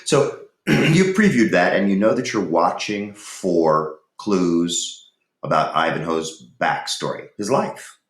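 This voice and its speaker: Low-voiced male teacher in an echoey room. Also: expressive. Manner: deliberate, instructive, with pauses. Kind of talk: lesson talk and chapter read-aloud.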